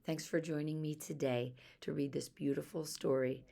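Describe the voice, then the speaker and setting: A woman reading a children's story aloud in an expressive storytelling style. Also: deep voice, normal speed, gravelly. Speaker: storybook reader.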